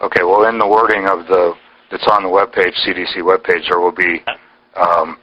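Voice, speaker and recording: A man's voice: deep voice, flat delivery, fairly quick. A man talking insistently and informally in a phone conversation, pressing his points as a caller. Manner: insistent, argumentative. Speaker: caller questioning an official. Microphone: telephone. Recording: recorded telephone call.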